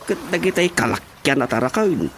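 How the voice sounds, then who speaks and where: A man's voice: on the high side, big pitch swings, fairly quick, fairly bright tone, slight background noise. An actor with an Ilocano accent playing a village man in a radio comedy drama. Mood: bashful, amused.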